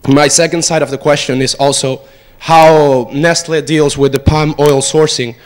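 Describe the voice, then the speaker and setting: A man's voice: a little high, steady tone, moderate pace. A man, a student asking a prepared, formal question from the audience. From Venezuela. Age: 20s. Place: auditorium audience. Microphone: handheld microphone.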